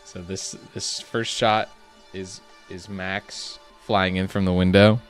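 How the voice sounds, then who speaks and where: A man who makes an animated series, chatting casually on a live stream at his desk, rambling with many fillers and restarts. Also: deep voice, slow pace, soft background music. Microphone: webcam setup at a desk.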